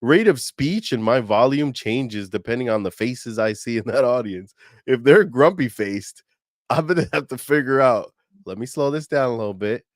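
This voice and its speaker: A man co-hosting a podcast in a loose, animated way, dropping slang and fillers as he talks. His voice sits in an ordinary middle pitch. The sound is close and dry, with no room around it. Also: normal speed, no echo. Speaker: podcast co-host. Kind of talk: casual podcast chat.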